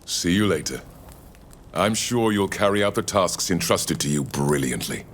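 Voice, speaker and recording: An actor playing a courteous, reflective older naturalist in a video game, speaking in a measured, formal way. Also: male voice, low-pitched, animated, slight background noise. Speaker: scholar from the continent. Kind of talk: scripted video game dialogue. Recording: music behind the voice.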